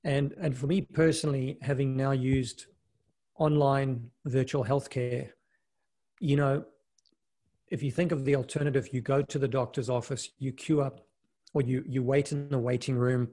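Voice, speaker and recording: Middle-aged man, grew up in South Africa, casual interview guest, relaxed, restarts his sentences. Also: medium pitch, normal speed. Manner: relaxed, with frequent restarts and "you know". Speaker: guest, investment fund manager and mountaineer.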